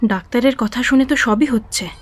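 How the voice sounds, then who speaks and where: A woman's voice: fairly high pitch, brisk, no room sound, natural voice. A woman acting a long-suffering, defiant young wife in a Bengali audio drama, answering back with exasperation. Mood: pleading, weary. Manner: retorting, evasive, exasperated.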